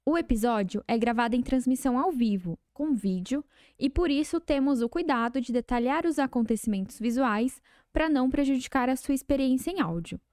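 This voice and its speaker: A woman's voice: high-pitched, expressive, brisk, darkish tone, no echo, studio quality. A woman with a Brazilian accent reading a scripted podcast introduction as announcer. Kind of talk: scripted narration.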